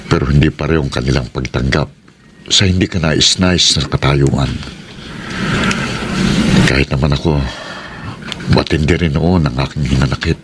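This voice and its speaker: A man with a Filipino accent playing a calm, forgiving middle-aged family man in a radio drama. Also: extremely low pitch, background noise. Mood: reflective, calm. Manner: measured, reflective.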